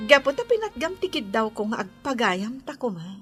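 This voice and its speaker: Carla's type of young Ilocano bride-to-be in a radio drama. The voice is high and very animated, swooping up and down. The delivery is sugary, theatrical and gushing. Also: female voice, moderate pace, faint music behind. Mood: sweetly smug.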